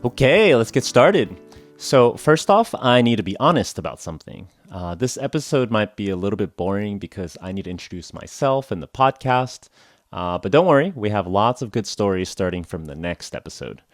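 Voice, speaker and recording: A man's voice: fairly low pitch, very animated, normal speed, dry recording, studio quality, soft background music. A young American man hosting a podcast for English learners, talking casually with lots of "uh" and "like" and self-deprecating jokes. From United States (Oklahoma). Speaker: podcast host.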